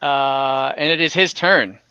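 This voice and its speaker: A man's voice: a little high, fairly even intonation, takes it slowly, bright, forceful tone, clear, resonant tone, dry recording, muffled recording. A man working as game master, narrating an online tabletop game casually and thinking aloud in a hesitant, improvised way. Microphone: online call microphone.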